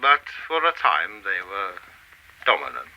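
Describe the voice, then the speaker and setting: A man playing a cold, mysterious visitor from an advanced civilization in a radio play, speaking in a measured, formal, authoritative way. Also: low voice, very animated, highs cut off, full character voice. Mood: stern, ominous.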